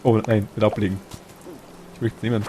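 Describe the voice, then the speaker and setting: A man's voice: on the low side, flat delivery, a little fast, warm tone, slight background noise. A man with a Bavarian accent chatting casually and enthusiastically while streaming a game, laughing easily.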